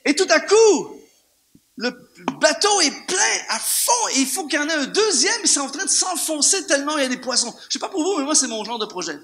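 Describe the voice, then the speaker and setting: A man with a Canadian French accent preaching an animated sermon to a church congregation, firing off rhetorical questions and repeating words for emphasis. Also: extremely high pitch, a little fast. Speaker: guest preacher. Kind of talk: lively sermon.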